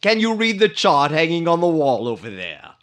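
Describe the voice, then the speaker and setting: A man playing a dry, wisecracking license examiner in old-time radio comedy style, with drawn-out hems. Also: a little high, very animated, fairly bright tone. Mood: officious.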